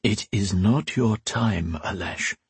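A man voicing a sorrowful old priest who speaks low, slowly and solemnly. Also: animated, slightly rough, dry recording. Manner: low, sad, slow and solemn.

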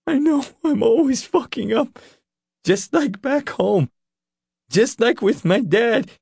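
A man's voice: on the high side, expressive, measured pace, a little mellow, breathy edge, no room sound, studio quality, voice pushed up, exaggerated voice. A man voicing a frantic, guilt-ridden teenage boy in an audiobook, crying and pleading with a stammering, breaking voice.

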